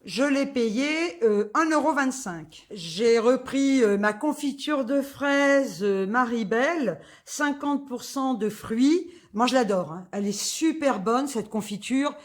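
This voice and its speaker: A woman's voice: on the high side, expressive, slow, few pauses. A woman, chatting casually through a grocery haul, full of fillers and asides. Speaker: vlogger.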